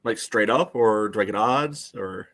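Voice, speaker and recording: A man's voice: lowish voice, unhurried, vocal fry, a bit bright. A man co-hosting a sports podcast in casual conversation.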